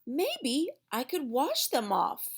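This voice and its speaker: A woman's voice: high voice, huge pitch swings, takes it slowly. A woman reading a storybook aloud for young children in a theatrical way, drawing out words for emphasis.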